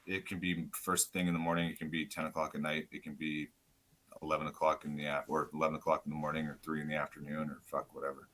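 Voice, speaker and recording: A man guest on a podcast, talking loud and casual, swearing often and rambling as he goes. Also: rumbling low, level tone, quick pace. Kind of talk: casual chat.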